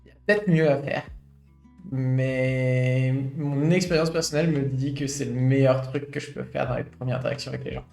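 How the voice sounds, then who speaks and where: A man's voice: medium pitch, steady tone, moderate pace, creaky, a little mellow. Man, late twenties, streamer, reflective, echoey room, faint guitar music. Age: late 20s. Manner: reflective, thinking aloud with many fillers. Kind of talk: reading a study aloud with personal commentary.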